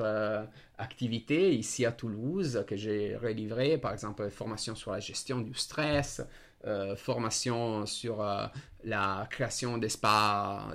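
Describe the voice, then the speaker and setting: A man's voice: fairly low pitch, fairly bright tone. A man with an Italian accent, a native Italian speaker, talking hesitantly and searching for words in an unscripted discussion. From Italy.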